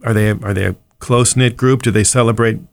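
Gravelly male interviewer. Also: lowish voice, steady tone, a little fast, dry recording. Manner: brief prompts, short backchannels. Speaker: podcast host. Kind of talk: interviewer asking questions.

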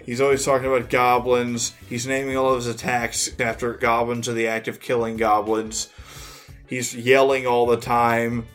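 A man's voice: medium pitch, flat delivery, moderate pace, a bit bright, faint music behind. Rambling, slangy man on a podcast. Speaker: podcast co-host. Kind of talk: casual chat.

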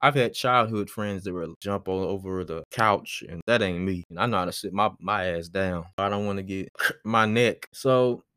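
A twenty-year-old man hosting his solo podcast, rambling in a relaxed way with lots of fillers. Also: deep voice, moderate pace, smooth, no echo, studio quality. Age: 20s. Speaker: podcast host.

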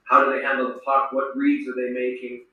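A middle-aged man from Saskatchewan, a retired hockey player slowly answering children's questions with a reflective manner and restarts. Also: mid-range voice, completely flat delivery, dull audio. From Saskatchewan, Canada. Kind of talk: thoughtful Q&A with children. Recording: roomy, echoey sound from far off.

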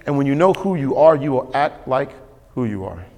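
A man's voice: mid-range voice, expressive, moderate pace, darkish tone. A man preaching a sermon as pastor, emphatic and repetitive, calling for responses from the congregation. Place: church sanctuary. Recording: long hall reverb.

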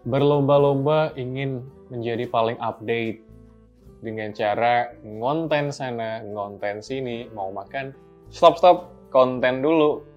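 A man's voice: mid-range voice, slow pace, lo-fi sound, faint music behind. A man hosting a casual explainer, talking loosely and putting on short quoted asides. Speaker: host. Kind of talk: explainer narration.

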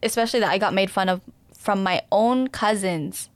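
A woman's voice: mid-range voice, normal speed, no echo. Chatty woman, dry close recording. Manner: laughs easily, chatty, agreeable. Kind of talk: casual chat.

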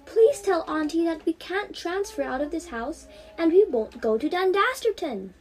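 A woman's voice: very high-pitched, lively intonation, normal speed, crowd noise, voice pushed up. A teenage woman voicing an emotional, pleading and exclaiming eleven-year-old girl in a storybook. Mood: upset, pleading.

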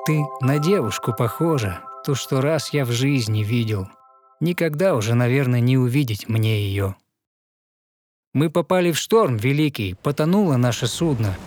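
A man's voice: medium pitch, expressive, moderate pace, a little mellow, dry recording, faint music behind, lightly acted. A man as a tender, earnest young fairy-tale prince. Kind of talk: verse fairy-tale storytelling.

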